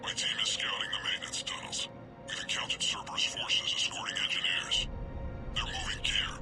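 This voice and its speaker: A man's voice: on the low side, huge pitch swings, normal speed. A man playing a dutiful mercenary lieutenant in a video game, reporting in a terse military manner. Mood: terse, businesslike. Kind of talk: dramatic video game acting. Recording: game cutscene with loud music behind.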